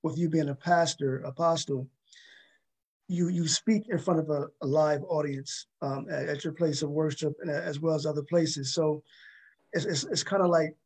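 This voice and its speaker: A man's voice: on the high side, fairly even intonation, moderate pace, darkish tone, husky. A man hosting a talk show, interviewing in a conversational, rambling way and often repeating his words.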